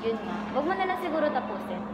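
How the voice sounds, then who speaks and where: A young woman with a Filipino accent chats playfully and casually in a high, very animated voice. The room echoes and a loud crowd fills the background. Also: very fast, loud music behind the voice. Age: young adult. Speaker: student helping with event tickets. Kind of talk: casual chat with friends. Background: loud crowd chatter.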